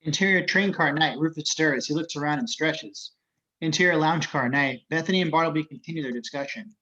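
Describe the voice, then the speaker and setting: Guy narrating screenplay directions, highish sharp voice, kinda dull lo-fi home recording. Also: brisk. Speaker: narrator reading stage directions. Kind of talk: scripted screenplay narration at a table read.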